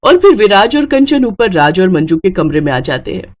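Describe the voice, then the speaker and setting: A woman's voice: mid-range voice, very animated, normal speed, slight background noise. A woman storyteller reading a scripted narration.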